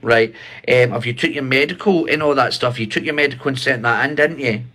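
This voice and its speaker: Man, thirties, middle pitch, rambling, hesitant, mock-official, prank call, indoors on a phone. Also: a little fast, bright, forceful tone. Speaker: prank caller. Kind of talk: prank phone call posing as army recruiter. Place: small bright bedroom indoors.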